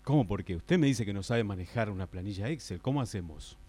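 Man acting a low-voiced, hesitant Argentine office boss. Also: expressive, darkish tone, a little hiss or hum, natural voice. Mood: exasperated. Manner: hesitant, halting, repeats himself. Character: forgetful, exasperated male office boss. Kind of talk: improvised radio play acting.

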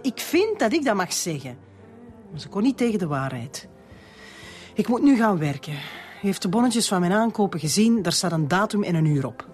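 A woman's voice: medium pitch, lightly acted. An actress playing a curt, defensive divorced Flemish woman under questioning in a radio drama.

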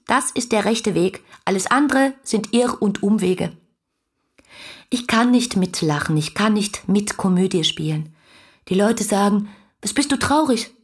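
An actress playing a dreamy, headstrong young woman in love, reading her letters in a breathy voice for a radio play. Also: a little high. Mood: defiant, lovelorn.

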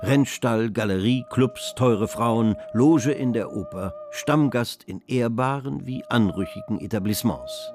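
An actor as the narrator of a historical radio drama, reading grand literary description. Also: male voice, mid-range voice, wildly swooping pitch, measured pace, few pauses, over music. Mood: grand, descriptive.

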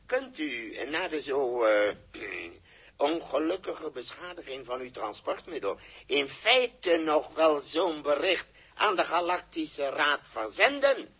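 A fawning alien interrogator. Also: male voice, on the high side, expressive, slow, fairly bright tone, full character voice. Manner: fawning, hesitant, throat-clearing. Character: obsequious male alien interrogator, wheedling and servile. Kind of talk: 1960s radio drama acting. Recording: old radio play recording with faint noise.

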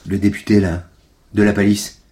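A man's voice: on the low side, level tone, normal speed, background noise, natural voice. A man playing a curt, persistent lead investigator in a naturalistic audio drama, matter-of-fact and serious. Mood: intent, explanatory. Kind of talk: naturalistic French audio fiction acting.

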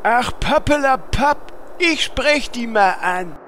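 A man's voice: very high-pitched, expressive, slow pace, fairly bright tone, slight background noise, exaggerated voice. A man voicing a timid, naive little hero in a parody audio drama, hesitant yet eager.

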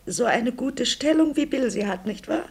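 A woman's voice: fairly high pitch, lively intonation, moderate pace, vocal fry, darkish tone. An actress playing a doting, fussy middle-aged mother in a mid-century radio play, effusive and sentimental. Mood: delighted, gushing. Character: doting, fussy, increasingly uneasy visiting mother. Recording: noisy vintage radio play recording.

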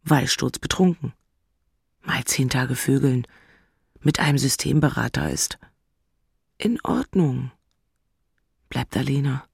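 A woman's voice: very deep voice, expressive, slow pace, gravelly, long pauses, breathy edge, no echo, studio quality, heavily acted. An actress playing an exasperated, lovesick single city woman in a radio play, narrating with blunt, self-mocking asides.

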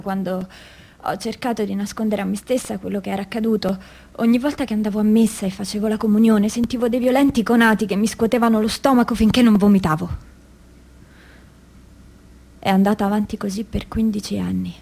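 A woman's voice: mid-range voice, fairly even intonation, brisk, vocal fry, warm tone. An actress playing an anguished mother who gives an intense, confessional testimony of past abuse in a dramatic stage monologue. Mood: subdued, pained. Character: anguished mother recounting abuse by a priest in girlhood.

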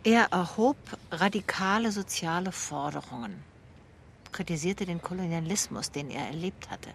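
A woman's voice: fairly low pitch, lively intonation, moderate pace, vocal fry, a little hiss or hum. An actress playing a persistent, inquisitive female private detective in a radio drama. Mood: absorbed, reading. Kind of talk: radio drama dialogue and reading aloud.

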